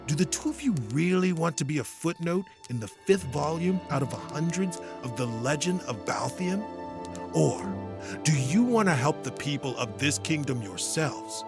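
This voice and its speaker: A man playing a weary, middle-aged retired hero in a fantasy audio drama, earnest and solemn in his measured speeches. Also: on the high side, lively intonation, over music. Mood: earnest, challenging.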